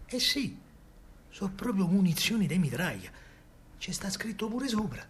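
Animated, husky Roman storyteller. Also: male voice, high-pitched, a little slow, exaggerated voice. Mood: excited. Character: excitable working-class Roman man recounting wartime scavenging. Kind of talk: theatrical monologue in dialect.

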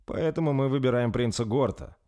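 A man's voice: mid-range voice, a little fast, mellow, pitched above the performer's own voice, lightly acted. A man voicing a proud, blunt warrior lord who speaks firmly.

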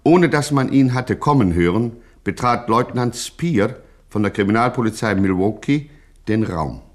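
An actor playing a grandiloquent narrator of a gangster satire, speaking in a pompous, mock-solemn way. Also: male voice, on the low side, expressive, a little slow. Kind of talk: scripted satirical radio narration.

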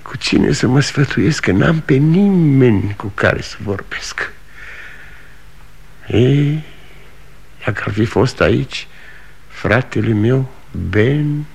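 An actor in his sixties playing an aging, proud, desperate traveling salesman, with a husky, gravelly voice and declamatory delivery in a radio play. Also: mid-range voice, lively intonation, long pauses, a little hiss or hum. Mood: brooding. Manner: declamatory, swinging between bluster and wonder. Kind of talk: 1960 radio theatre acting.

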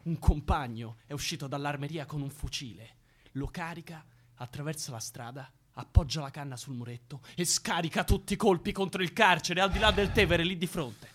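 An actor playing an excitable young activist photographer in a radio drama, telling things vividly. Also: male voice, a little high, fairly quick. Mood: excited recounting. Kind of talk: naturalistic radio drama acting.

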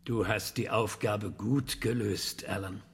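An actor playing a patient professor in his sixties who questions carefully and deliberately in a radio drama. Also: fairly low pitch, fairly even intonation, husky, heavily acted. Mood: probing, careful. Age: 60s. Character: 68-year-old male professor, patient, careful, probing questioner.

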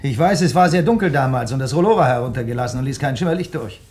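An actor as a needling, animated male investigator. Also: mid-range voice, fast, slight background noise, natural voice. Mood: accusing, sardonic. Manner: probing, pointed, needling. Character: suspicious, accusing male amateur investigator, sardonic. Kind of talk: German radio drama acting.

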